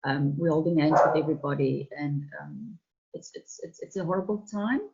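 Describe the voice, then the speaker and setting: A woman, a radio presenter, speaking sympathetically in halting phrases that repeat words. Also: sits low, lo-fi sound, heavy background noise.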